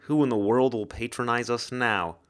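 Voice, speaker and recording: A man's voice: mid-range voice, steady tone, moderate pace, clean tone, natural voice. A man voicing a shaken, anxious woman shopkeeper in an audiobook, hesitant as she tries to sound firm. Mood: weary, dazed. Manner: hesitant, stammering, trying to sound commanding. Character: shaken, anxious young widowed woman bookshop owner.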